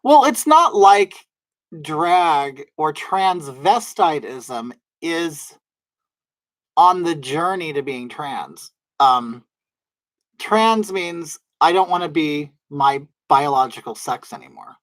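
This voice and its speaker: A man with a soft, feminine-sounding voice, rambling casually to his livestream viewers with lots of fillers and restarts. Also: a little high, lively intonation, slow pace, no room sound, rough recording. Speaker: livestream host. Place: at home in drag, wig on.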